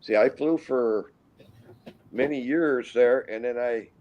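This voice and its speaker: A man in his sixties from Minnesota, a guest pilot telling stories in a rambling, halting way with many restarts. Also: mid-range voice, darkish tone, muffled recording, a little hiss or hum. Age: 60s. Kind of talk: casual storytelling interview.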